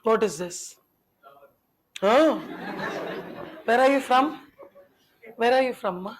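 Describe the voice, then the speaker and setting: A woman's voice: a little high, takes it slowly, some chatter behind, rough recording. A young adult woman whose first language is Tamil, teaching a classroom lecture. She keeps firing questions at her students and teases them in a chatty way.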